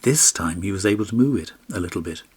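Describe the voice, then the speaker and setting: A man's voice: deep voice, expressive, quick pace, husky. A man narrating a fairy tale as an audiobook, reading expressively from a script.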